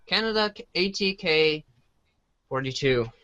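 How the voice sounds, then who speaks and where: A man's voice: fairly high pitch, animated, unhurried, sharp tone, smooth, dry recording. A man in casual banter as one of a reading cast. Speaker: cast member.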